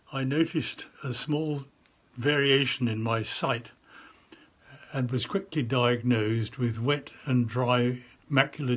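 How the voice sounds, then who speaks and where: A man in his eighties giving a measured, formally worded personal account as a charity supporter. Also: mid-range voice. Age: 80s.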